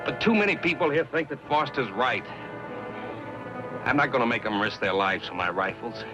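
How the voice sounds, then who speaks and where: Grim frontiersman played by a man under loud music. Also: medium pitch, animated, normal speed, lightly acted. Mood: grave. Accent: American. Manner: terse, serious. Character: grim, resolute frontier wagon-train man. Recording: muffled old film soundtrack, loud music.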